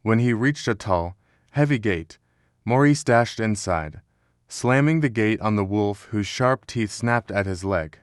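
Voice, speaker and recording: A man's voice: on the low side, lively intonation, a little slow, clear, resonant tone, no echo, clean studio sound. A man reading scripted narration as the narrator of a children's storybook.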